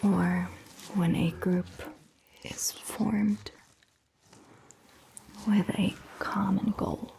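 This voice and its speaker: Whispering, very slow vampire doctor, played by a woman. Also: mid-range voice, steady tone, a little hiss or hum, poor audio. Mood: calm, musing. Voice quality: breathy, mostly whispered. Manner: gentle, slow, measured. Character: calm, attentive female vampire doctor examining a patient. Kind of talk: soft-spoken ASMR roleplay.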